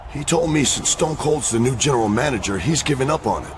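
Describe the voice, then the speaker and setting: A man's voice: medium pitch, expressive, fast talker, natural voice. A man playing a proud, defiant champion wrestler in a video game cutscene, on a noisy recording. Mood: defensive, challenging.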